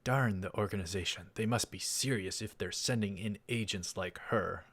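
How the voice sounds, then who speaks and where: A man's voice: on the low side, moderate pace, fairly bright tone, slightly rough. A man voicing a paranoid, grandiose mad scientist from a visual novel, in a dramatic, suspicious manner. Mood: frustrated. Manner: dramatic, suspicious inner monologue.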